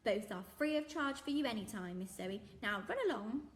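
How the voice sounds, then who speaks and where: A woman voicing a big, jolly, kind-hearted shopkeeper who fusses warmly and scolds softly in a storybook reading. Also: a little high, expressive, normal speed, natural voice. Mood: kindly. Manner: warm, fussing, softly scolding. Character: big jolly kindly male shopkeeper, sentimental.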